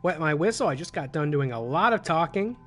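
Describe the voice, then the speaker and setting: A young man with a cold, his voice raspy and phlegmy, chatting casually as a gaming streamer from a small room at home. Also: a little high, lively intonation, brisk, fairly bright tone, soft background music. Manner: chatty, self-deprecating. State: hoarse from a cold.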